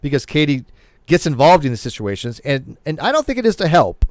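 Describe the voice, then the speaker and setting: A man's voice: a little high, sing-song, brisk, dry recording, clean studio sound. A man in his late forties hosting a casual livestream chat, rambling with many restarts. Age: 40s. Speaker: host.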